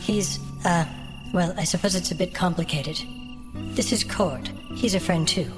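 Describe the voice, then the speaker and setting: A woman plays a friendly yet careful elf traveller in a fantasy audio drama. Her voice is on the low side, measured and polite. Music plays clearly behind her. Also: lightly acted. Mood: matter-of-fact. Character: female elf traveller, cautious but friendly.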